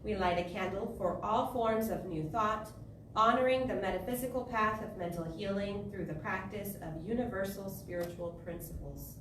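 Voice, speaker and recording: Female service leader for spoken prayer: solemn, measured phrasing, roomy echo, noticeable background noise. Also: mid-range voice. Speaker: service leader reading candle lighting and prayer. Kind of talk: spoken liturgy and guided prayer. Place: live service in a spiritual center. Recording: echoey room, distant mic, clear background noise.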